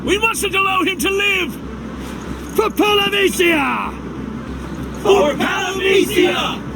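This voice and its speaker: An actor playing a frenzied zealot fighter in a video game, with strained, shrill shouting. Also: male voice, slow, heavily acted. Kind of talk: dramatic video game acting. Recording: loud noisy ambience.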